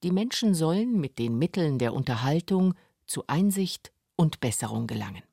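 A woman's voice: low voice, very animated, moderate pace, gravelly, dark tone, dry recording, studio quality. A woman narrating a scripted radio documentary.

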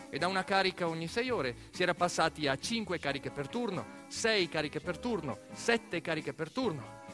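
A man performing a dramatic documentary theatre monologue, telling it emphatically with deliberate repetitions and pauses. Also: fairly high pitch. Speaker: stage narrator, monologue actor. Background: music behind the voice.

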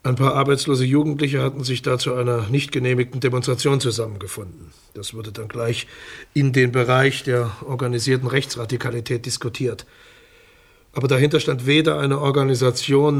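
Actor playing male state prosecutor, medium pitch, formal, measured, bureaucratic, condescending, radio crime drama. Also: steady tone, natural voice. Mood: defensive, formal. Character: dismissive, formal male state prosecutor defending his findings.

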